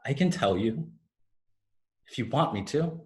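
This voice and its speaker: Man playing a slow, wistful fallen fairy. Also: mid-range voice, animated, darkish tone, natural voice. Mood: wistful, tender. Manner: slow, lyrical, deliberate delivery. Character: fallen winged healing fairy, tender, wistful, insistent. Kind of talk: staged play reading, dramatic monologue. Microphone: video call. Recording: remote video-call recording.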